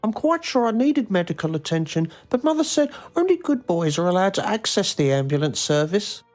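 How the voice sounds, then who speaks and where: A woman's voice: mid-range voice, big pitch swings, a little fast, a little mellow, faint music behind, lightly acted. A woman voicing an odd, lonely grown son in a game, earnest and quaintly formal. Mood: earnest, wistful. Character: odd, lonely, long-confined middle-aged son.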